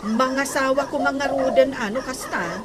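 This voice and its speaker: A woman's voice: sits high, unhurried. A woman playing a pleading, sympathetic relative in an Ilocano radio comedy drama, with an Ilocano accent. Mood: pleading, concerned. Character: pleading, sympathetic younger female relative with children. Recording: very noisy, cooing birds behind.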